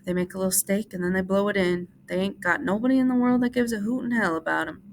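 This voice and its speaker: A woman playing a weary ranch hand who speaks quietly and woodenly, in a storybook reading. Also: medium pitch, steady tone, fast talker, slight background noise, lightly acted. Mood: flat.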